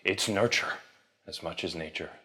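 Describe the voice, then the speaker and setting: A brooding method actor in an audio drama. His voice is very low and hushed. He speaks gravely and deliberately, with solemn, deluded intensity. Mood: brooding, ominous. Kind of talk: audio drama acting, monologue.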